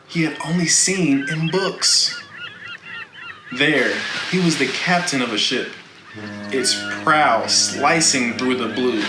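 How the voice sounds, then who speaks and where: Echoey, guy reading a kids novel out loud, slow dramatic narration, bright slightly high voice. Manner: deliberate, dramatic. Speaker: storybook reader. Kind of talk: expressive scripted children's novel narration. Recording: echoey room, faint background noise.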